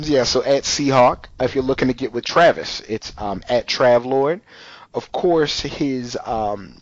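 A man's voice: mid-range voice, dry recording. A man hosts a podcast in a loose, conversational way, his talk full of fillers and asides. His voice sits in a middle register. The recording is dry and dull, like a basic lo-fi home mic. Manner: loose and chatty, full of "you know" and "like". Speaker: podcast host. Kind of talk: casual podcast chat.

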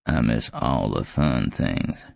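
A man voicing a bitter, vengeful old villain in an audiobook, with a dry, croaky growl. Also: rumbling low, expressive, slow, a little mellow, no room sound, voice pushed down, exaggerated voice. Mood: dry, humourless. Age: older. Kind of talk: audiobook character acting.

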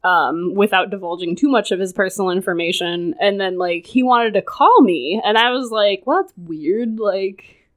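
A woman's voice: mid-range voice, normal speed. A woman guest on a casual podcast, telling a personal story in a loose, chatty way, with lots of "like" and frequent laughter. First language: English. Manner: chatty, full of "like", laughs often.

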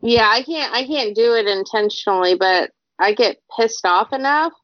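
A woman's voice: a little high, moderate pace, dry recording. A woman chatting casually as a podcast co-host, blunt and swearing freely. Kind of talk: casual chat. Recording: dry, dull lo-fi sound.

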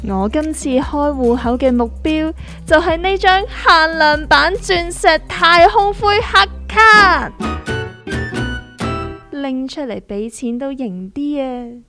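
A woman's voice: high-pitched, lively intonation, slow pace, pushed and bright, faint music behind, full character voice. A woman with a Hong Kong accent playing a self-assured, trend-chasing small investor who explains things showily in a comic radio drama. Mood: showy.

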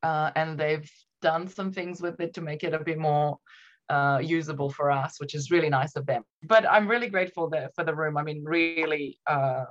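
Low-voiced woman, Romanian-Australian accent. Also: steady tone, moderate pace, muffled recording. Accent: Romanian mixed with Australian. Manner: reflective, many fillers and restarts, laughs often. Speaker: guest. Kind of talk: casual video-call interview.

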